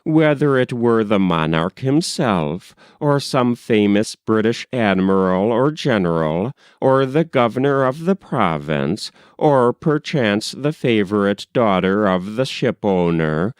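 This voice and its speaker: Male audiobook reader, slow and measured, lively intonation, close dry sound. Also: fairly low pitch, smooth, no room sound. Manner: measured, expressive reading. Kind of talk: literary story narration.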